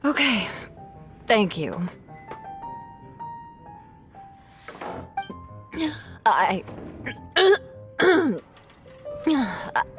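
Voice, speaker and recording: Eula, slow-talking tipsy noble knight. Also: female voice, mid-range voice. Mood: touched, hesitant. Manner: halting, sighing, wistful. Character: Eula, proud, guarded young noblewoman knight, drunk. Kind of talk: animated video game acting. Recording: soft game music behind the voice.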